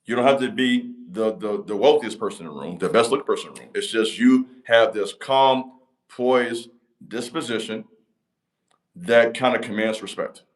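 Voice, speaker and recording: A man's voice: normal speed, low-quality recording. A man, giving a motivational talk to camera in an emphatic, repetitive cadence. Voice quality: deep voice. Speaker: channel host.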